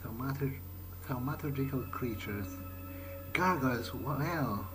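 A man's voice: mid-range voice, a little slow, over music, rough recording. A man playing a video game in character, thinking aloud in a musing, hesitant way. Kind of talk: in-character game playthrough commentary.

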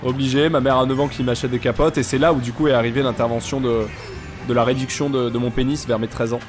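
A young man with a stuffy nose, chatting casually and joking deadpan with viewers while he streams a game. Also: medium pitch, fast, music in the background. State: congested, sick with sinusitis. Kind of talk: casual live-stream chat while gaming.

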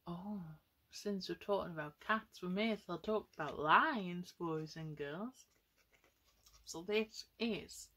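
Young woman doing kids storytime, slow n a bit breathy, asks the little ones questions. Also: lowish voice, rough recording. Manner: addresses children, exclaiming and asking questions, with hushed asides. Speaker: children's storyteller and host. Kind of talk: storytelling to children with paper puppets. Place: small room at home, indoors.

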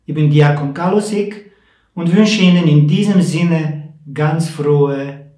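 A man, a deacon, giving a measured, preacherly Christmas message. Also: fairly high pitch, warm tone. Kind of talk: Christmas message, slow and solemn. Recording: echoey room, mic at a distance.

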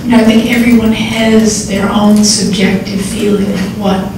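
A soft-voiced woman presenting oral history clips to an audience, hesitant with many ums, in a library meeting room. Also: mid-range voice, level tone, moderate pace. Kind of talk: informal talk to audience. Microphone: distant room mic. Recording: echoey hall, distant mic, audible noise.

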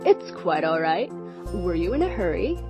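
A woman playing a gentle, courteous middle-aged mother in a cartoon comic dub. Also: on the low side, very animated, moderate pace. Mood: polite. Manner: courteous, hesitant. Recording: music behind.

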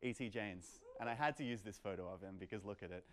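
A man's voice: fairly low pitch, fast. A young man presenting an informal lecture to a meetup in a lecture room, in a playful, conversational manner with plenty of "like" and "kind of". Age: young adult. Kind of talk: informal live lecture with audience questions. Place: medium-sized lecture room, indoors.

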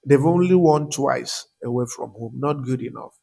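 A man's voice: mid-range voice, moderate pace, gravelly, dark tone, husky. A young man with a Nigerian accent, casually talking viewers through his picks over a screen recording, with frequent fillers. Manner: chatty, many "um" fillers, addresses viewers directly. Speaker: betting tips channel host. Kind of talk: casual screen-share commentary.

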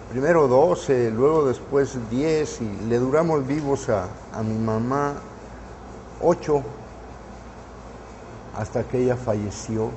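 A man in his seventies from Mexico, reminiscing in an interview in his studio, unhurried and full of hesitant fillers. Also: medium pitch, a little mellow, a little hiss or hum, poor audio. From northern Mexico. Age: 70s. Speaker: painter interviewee. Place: artist's studio, indoors. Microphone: lapel mic.

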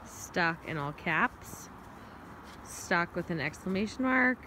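A woman vlogging outdoors in the snow on a handheld phone, joking and excitable with bursts of high shouts. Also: takes it slowly. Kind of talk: casual vlog narration. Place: outdoors in snow by a stuck truck. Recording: loud outdoor noise.

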